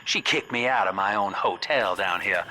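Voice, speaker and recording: An actor playing a shrewd, folksy middle-aged hotelier in a video game, with a chummy, scheming manner. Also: male voice, on the low side, normal speed, sharp tone, rough recording. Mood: urgent. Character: shrewd, folksy male hotelier guiding the player. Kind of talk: video game character acting.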